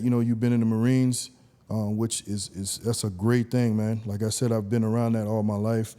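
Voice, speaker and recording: A man hosting a podcast, talking in a relaxed, conversational way with lots of "you know"s. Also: on the low side. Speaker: podcast host.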